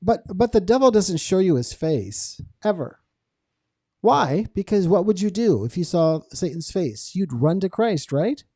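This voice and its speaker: A man's voice: high voice, very animated, unhurried, dark tone, dry recording, clean studio sound. A man hosting a conversational podcast, speaking earnestly about faith and posing rhetorical questions as he goes. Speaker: podcast host and interviewer. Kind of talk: conversational podcast interview with religious commentary.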